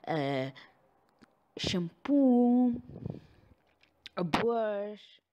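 A woman's voice: mid-range voice, big pitch swings, warm tone, dull audio, a little hiss or hum. A woman who is not a native English speaker, doing an affectionate ASMR girlfriend roleplay addressed straight to the listener. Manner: slow, affectionate, with frequent sighs and giggles.

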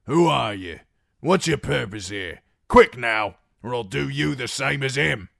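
A man reading an audiobook voices a rough, frightened male guard who shouts threats. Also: on the low side, lively intonation, slow pace, no echo, studio quality. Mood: threatening.

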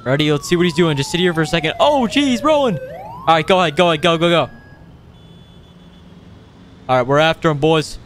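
A man hosting a gaming video with casual, playful roleplay commentary, excitable and given to exclaiming. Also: a little high, fairly quick, long pauses, a little hiss or hum. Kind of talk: casual improvised roleplay commentary over gameplay.

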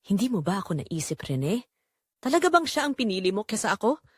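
A woman playing a hurt, restrained wife in an audio drama, quiet and reproachful. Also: medium pitch, big pitch swings, brisk, husky, dry recording, studio quality. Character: hurt, restrained wife confronting her husband and caregiver.

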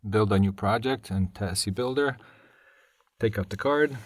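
A man presenting a live software tutorial as a technical webinar presenter, hesitant, with short pauses mid-sentence. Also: fairly low pitch, no room sound. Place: voice-over on a screen-share webinar.

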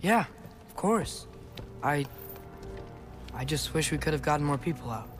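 An actor playing an earnest, gentle teenage boy warrior in a video game, sincere and soft-hearted. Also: on the high side, lively intonation, measured pace, over music. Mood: glad, sincere. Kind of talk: video game dialogue acting.

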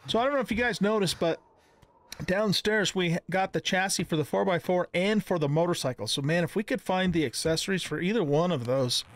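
A middle-aged man giving casual let's-play commentary, thinking aloud in a folksy, exclaiming way. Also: a little high. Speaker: gaming channel host. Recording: clear background noise with clicks.

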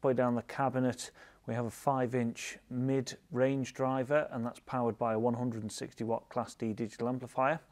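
A man's voice: medium pitch, level tone, moderate pace, a little mellow. A British man in his thirties presenting a product overview with an enthusiastic manner. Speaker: audio shop presenter. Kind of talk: product review narration to camera.

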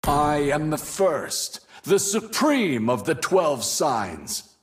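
Grandiose, proud star warrior. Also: male voice, a little high, very animated, extremely slow, full character voice. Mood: proud, commanding. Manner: grandiose, theatrical, boastful declarations. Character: proud, domineering male Capricorn star warrior, boastful conqueror. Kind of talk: video game hero voice lines. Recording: game music and battle effects behind.

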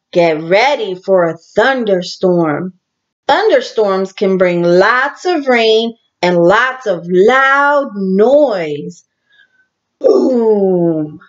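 A woman teacher reading a picture book aloud to young children in a deliberate, animated storytelling manner, with asides to her listeners. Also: medium pitch, muffled recording.

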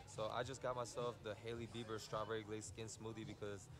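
A young man chatting in an animated, slangy way for a vlog out in busy public places. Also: fairly low pitch, a little fast, background noise. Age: young adult. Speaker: vlogger. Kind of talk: casual travel vlog chat. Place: busy stores and outdoor tourist spots.

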